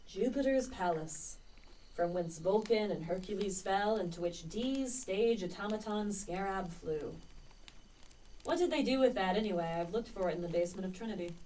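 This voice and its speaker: A woman playing a young male poet and spy in a radio play, musing lyrically with dramatic pauses. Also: mid-range voice, mellow, distant mic, a little hiss or hum, lightly acted, low-quality recording. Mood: wistful wonder. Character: Christopher Marlowe, dreamy, searching young male poet and spy.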